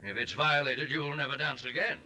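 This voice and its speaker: A man's voice: medium pitch, fairly even intonation, brisk, bright, forceful tone. An actor playing a bluff, businesslike male ballet company boss in a blustering 1940s radio style. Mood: firm, exasperated.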